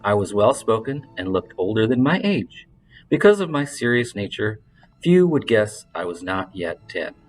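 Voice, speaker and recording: A man with a fairly low voice narrates a novel aloud. His delivery is measured and expressive, in the manner of an audiobook storyteller. Faint music can be heard with the reading. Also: low-quality recording. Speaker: reader of literary fiction.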